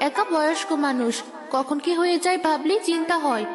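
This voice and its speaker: A woman's voice: sits high, brisk, pitched above the performer's own voice, natural voice. A woman voicing a caring, worrying, slightly bossy village wife in a children's cartoon. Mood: concerned, insistent. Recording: music behind the voice.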